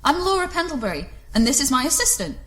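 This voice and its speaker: A woman playing an eccentric, blithely confident time traveller in a comic audio drama, brisk, dry and bossy. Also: high voice, big pitch swings. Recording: loud music behind the voice.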